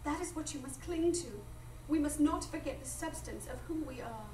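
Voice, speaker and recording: A woman's voice: sits high, moderate pace, darkish tone, natural voice. A woman playing an earnest, idealistic and insistent woman in a live radio drama, speaking formally and emphatically. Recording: distant echoey live stage, noisy.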